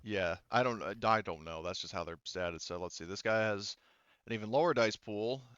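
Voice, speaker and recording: A man's voice: lowish voice, moderate pace, a bit bright, clear, resonant tone, dry recording, muffled recording. A man acting as game master for a tabletop game, talking casually with swearing and explaining the rules.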